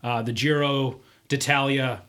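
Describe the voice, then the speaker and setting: A man's voice: mid-range voice, steady tone, measured pace, fairly bright tone. Chatty man hosting a cycling podcast. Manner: loose, filler-heavy, enthusiastic about cycling. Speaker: podcast host. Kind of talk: casual chat.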